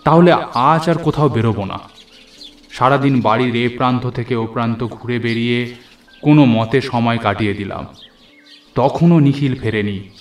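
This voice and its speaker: A man's voice: medium pitch, normal speed. A man narrating a scripted horror story in the first person, theatrical and suspenseful. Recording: echoey, music underneath.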